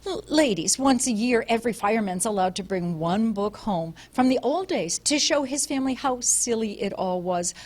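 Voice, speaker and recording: Brief: a woman playing a jittery wife, brightly forced cheer, high and fairly lively. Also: unhurried. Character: anxious wife keeping up appearances.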